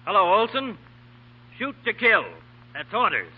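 An actor playing a cowed, blustering sheriff, excitable and pleading, in 1940s radio acting style. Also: male voice, high-pitched, a little slow, heavy background noise. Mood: excited, alarmed. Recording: muffled 1940 radio recording.

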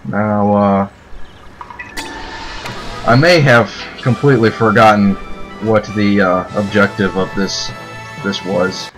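Deep-voiced young man joking over gameplay. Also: fairly bright tone, poor audio. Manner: joking, rambling, laughs often. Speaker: let's play commentator. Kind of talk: casual gameplay commentary.

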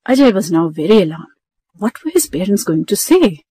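Female narrator for a children's story: medium pitch, scripted read, close and dry. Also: sing-song, fairly quick, warm tone, breathy edge, dry recording.

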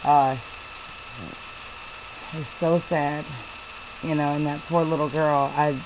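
A woman commenting on a news story, talking haltingly with many fillers and restarts. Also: low-pitched, level tone, noisy background. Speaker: channel host reading news and commenting. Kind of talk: casual commentary over a screen recording.

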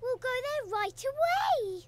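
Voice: very high voice, big pitch swings, takes it slowly, slight background noise, full character voice. A performer playing a cheerful, eager little girl pig in a children's cartoon game, childlike and exclamatory.

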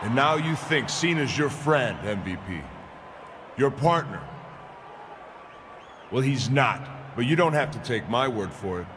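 An actor playing a smug, scheming wrestling villain in authority, taunting and deliberate in his delivery. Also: mid-range voice, expressive, noisy background, close to an everyday voice. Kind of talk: wrestling promo acting.

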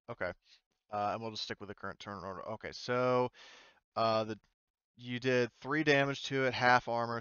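A man running an online tabletop game as game master, casual and halting as he thinks aloud. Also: on the low side, fairly bright tone, clean tone, dull audio. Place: indoors, online voice call.

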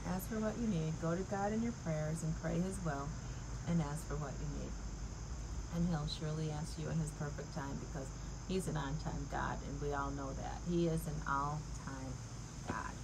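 A woman's voice: low voice, fairly even intonation, moderate pace. A middle-aged woman giving a casual devotional talk and reading scripture in an earnest, encouraging, conversational way, often checking in with the listener. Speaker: devotional host and coach. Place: outdoors in a leafy backyard garden. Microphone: clip-on lapel mic. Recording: clear outdoor insect noise throughout. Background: crickets and insects.

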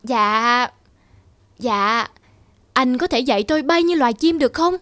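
A woman's voice: high-pitched, lively intonation, measured pace, a bit bright, no room sound. A woman voicing a small, naive young turtle in a cartoon, eager, pleading and insistent.

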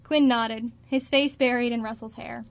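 A woman's voice: fairly high pitch, steady tone, normal speed. A woman reading scripted narration for a radio drama as its narrator.

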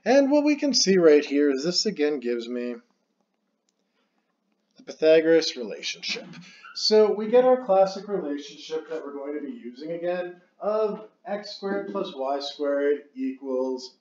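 A man's voice: on the high side, big pitch swings, unhurried, a little mellow, muffled recording. A man teaching a calculus problem step by step while writing it out on screen. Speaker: math teacher. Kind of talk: screen-recorded math lesson.

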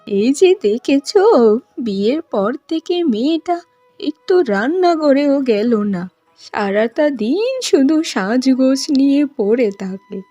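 A woman voicing a fretful, pleading older mother-in-law from a village in a cartoon, with plaintive, drawn-out exclamations. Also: high-pitched, expressive, dark tone, no room sound, dull audio, soft background music. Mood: complaining. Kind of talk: cartoon voice acting.

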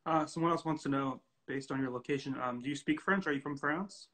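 A man's voice: on the high side, brisk, fairly bright tone. A young man in his twenties casually hosting a live interview and putting questions to a guest. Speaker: host.

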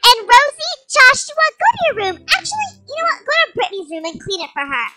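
A woman's voice: very high voice, wildly swooping pitch, a little fast, pushed and bright, no room sound, faint music behind, full character voice. A young female player voicing a doting but scolding mother in an improvised game roleplay. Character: doting mother who scolds her own children.

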